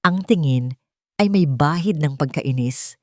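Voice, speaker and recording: A woman's voice: deep voice, lively intonation, measured pace, dry recording, clean studio sound. A woman storyteller reading a scripted dramatic narration.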